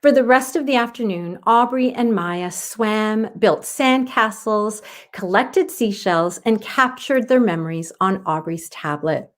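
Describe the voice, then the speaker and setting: A woman reading a children's story aloud as narrator, in an expressive style. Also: medium pitch, measured pace.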